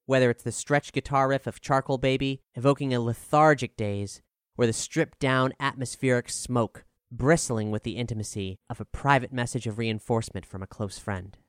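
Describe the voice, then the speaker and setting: A man narrating a scripted music review in a measured, articulate, formal way. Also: mid-range voice, steady tone, clear, resonant tone, dry recording, clean studio sound. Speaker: narrator reading an album review.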